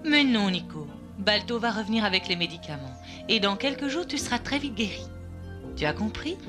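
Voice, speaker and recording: A woman voicing a caring female sled dog in a French-dubbed cartoon, gentle and soothing. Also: mid-range voice, animated, a little fast, lightly acted. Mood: reassuring. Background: music.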